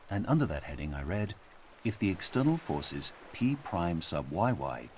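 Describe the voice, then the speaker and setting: A man playing a learned man of science, reciting a reflective essay in a measured, literary way for a game audio log. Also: low-pitched, slight background noise. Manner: measured, literary, expressive delivery. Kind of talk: scripted dramatic reading for a game audio log.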